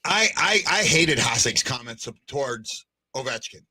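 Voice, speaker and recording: A man hosts a sports podcast in a husky voice that rides up and down, bright and forward in tone. He often stumbles and restarts his sentences, then tags them with a questioning "right?". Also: mid-range voice, expressive, measured pace. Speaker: podcast host. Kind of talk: casual chat.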